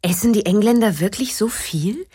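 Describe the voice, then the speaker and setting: A woman acting a breathy, astonished German Fräulein. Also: medium pitch, normal speed, warm tone, dry recording, studio quality, close to an everyday voice. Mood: astonished, nosy. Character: astonished German young lady gossiping at dinner. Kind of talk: audiobook character voice.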